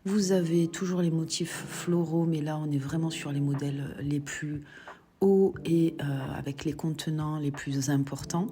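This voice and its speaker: Low-pitched lady with a cold, chatty shop owner showing off her pots, background music playing. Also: warm tone. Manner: chatty, explains prices step by step. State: has a cold. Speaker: shop owner presenting stock. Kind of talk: product walkthrough video.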